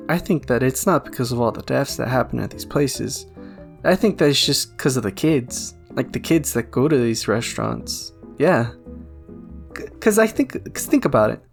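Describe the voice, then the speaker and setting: A man's voice: mid-range voice, gravelly. A man giving casual fan theory commentary, rambling and jokey with a self-deprecating streak. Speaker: YouTube video essayist. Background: background music.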